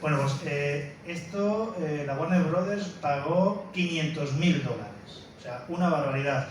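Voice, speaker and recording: A man's voice: a little high, measured pace. A man giving an informal, explanatory talk as a film presenter, often stressing points and seeking agreement. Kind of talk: informal spoken lecture introducing a film. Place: indoor room, presenting to an audience. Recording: very echoey room, distant mic, faint noise.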